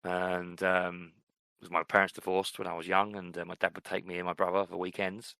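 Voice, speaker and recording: Middle-aged man, podcast co-host, low gravelly voice, relaxed rambling chat, dry close sound. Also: fairly quick, no room sound. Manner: relaxed, rambling, frequent fillers. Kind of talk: casual chat.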